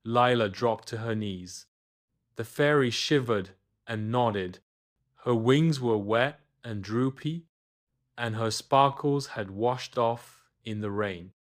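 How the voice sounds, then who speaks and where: A man's voice: medium pitch, slow pace. A man reading a scripted children's bedtime story as its narrator.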